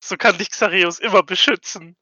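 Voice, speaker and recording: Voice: mid-range voice, fairly even intonation, normal speed, sharp tone, no room sound, muffled recording. A player in a tabletop game podcast bantering casually in a cheeky, slangy way.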